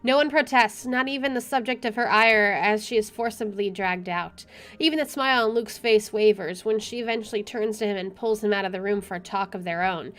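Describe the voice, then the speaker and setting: A woman's voice: medium pitch, fairly quick, a bit bright. A woman game streamer reading visual novel narration aloud and adding casual commentary. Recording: game music behind.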